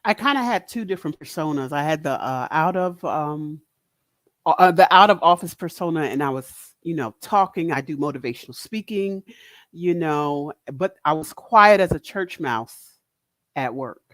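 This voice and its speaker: Deep-voiced direct woman, dry sound. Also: lively intonation, normal speed, no room sound. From Northeast US. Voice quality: big, deep, loud voice. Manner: direct, candid, laughs often. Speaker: motivational speaker, guest. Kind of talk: casual podcast chat.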